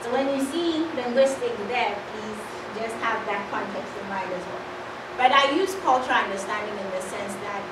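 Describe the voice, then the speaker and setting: A woman's voice: fairly high pitch, normal speed. A woman from Ghana in her thirties with a Ghanaian English accent, giving an earnest, conversational academic talk as a doctoral student. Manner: earnest, conversational, checks in with "right?". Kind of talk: academic presentation. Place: classroom with projector screen. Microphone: far camera. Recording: echoey room, far mic, loud room noise.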